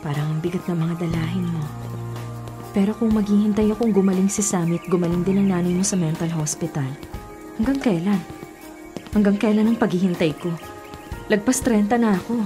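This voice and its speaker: A woman's voice: mid-range voice, brisk, pauses often, close to an everyday voice. An actress playing a caring, weary girlfriend in her thirties, earnest and sympathetic, in a Filipino radio drama. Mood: weary, pleading. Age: 30s. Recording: loud music bed behind voice.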